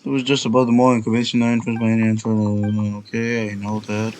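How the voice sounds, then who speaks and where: A man's voice: fairly low pitch, monotone, quick pace, noisy background. A man giving casual, off-the-cuff gameplay commentary as a let's player.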